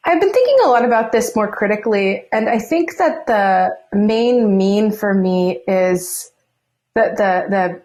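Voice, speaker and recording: A woman's voice: medium pitch, darkish tone. A woman, a guest author answering interview questions in a reflective, chatty way. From Los Angeles.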